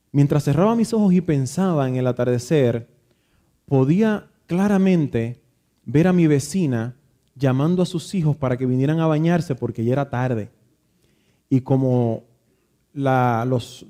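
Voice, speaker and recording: Man, Dominican accent, preacher, reflective, restarts words, slightly high voice, warm tone. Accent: Dominican Spanish. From Dominican Republic. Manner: reflective storytelling with frequent restarts. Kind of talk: Bible reading and devotional talk.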